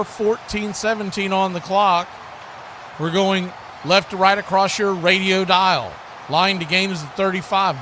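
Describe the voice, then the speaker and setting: American guy doing live football play-by-play, pretty high voice, clipped calls, noticeable background noise. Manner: clipped present-tense calls. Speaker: play-by-play commentator and watch party host.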